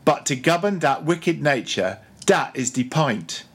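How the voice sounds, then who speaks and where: Man acting a grumbling old ship's cook, preaching loudly. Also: fairly high pitch, lively intonation, unhurried, husky, full character voice. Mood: earnest preaching. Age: older. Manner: grumbling, solemn mock-preacher delivery. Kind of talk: audiobook character voice.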